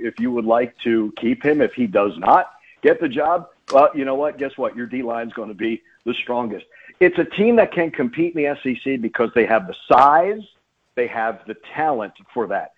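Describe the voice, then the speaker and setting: A man's voice: mid-range voice, breathy edge. Emphatic sportscaster man on a phone-like line. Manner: emphatic, repeats phrases for stress. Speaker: sports play-by-play announcer, radio guest. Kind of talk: radio sports interview commentary. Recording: phone-like narrow-band radio line.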